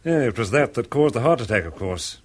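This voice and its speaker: A man's voice: mid-range voice, animated, fairly quick, husky. An actor playing a flippant, cynical upper-class man in a 1970s radio drama, breezy, sardonic and callously offhand. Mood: blithe.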